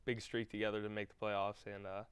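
A man's voice: fairly low pitch, little pitch movement, a little fast, fairly bright tone, smooth, no room sound. A young man who plays college baseball, giving plain, modest interview answers. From Pennsylvania. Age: young adult.

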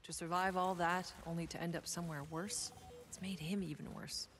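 A woman's voice: on the low side, fairly even intonation, normal speed, close to an everyday voice. A woman playing a tough, blunt mercenary leader in a video game. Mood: frank, weary. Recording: loud game ambience behind.

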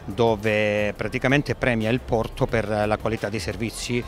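Middle-aged man, port director, lowish voice, halting with fillers, handheld mic at a fair, faint music under. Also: steady tone. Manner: hesitant, many fillers and restarts. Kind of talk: trade fair interview.

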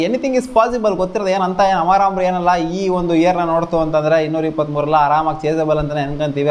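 A man in his twenties talking to camera in casual, colloquial, exclamatory cricket commentary, recorded on a lapel mic in a small home studio. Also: medium pitch. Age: 20s. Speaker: host of a cricket review channel. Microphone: clip-on lapel mic.

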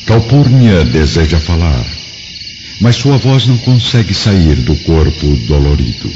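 A man narrating an audiobook drama in a measured, dramatic way. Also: sits low, very animated, background noise. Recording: dull lo-fi, clear music behind.

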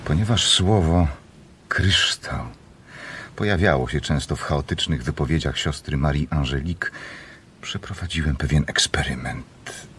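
An actor playing a calm, probing doctor in a radio play, questioning in a measured, deliberate way. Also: male voice, very deep voice, a little hiss or hum. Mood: probing, intent. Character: calm, probing male doctor, quietly persuasive. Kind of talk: Polish radio play acting.

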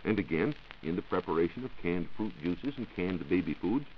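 An American man giving a scripted, measured science talk as a reporter on a 1940s radio show. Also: sits low, expressive. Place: radio studio. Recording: muffled 1940s radio recording with hiss.

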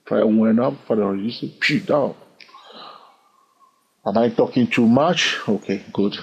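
A man teaching a chart lesson over his screen, animated and full of rhetorical questions, chuckling now and then. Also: fairly low pitch, unhurried, gravelly, slightly rough. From lives in London. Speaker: trading educator. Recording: dull lo-fi sound.

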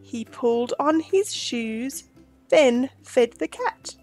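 A woman in her forties reads a picture book for children. Her voice is high and she takes her time, shaping each phrase with deliberate expression. There is faint music behind her. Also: expressive, a little mellow. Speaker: storytime host and reader.

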